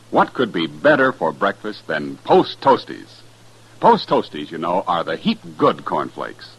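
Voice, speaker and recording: An American man announcing and selling in 1950s radio style, emphatic and folksy, with a husky voice. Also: on the low side, a bit bright, low-quality recording.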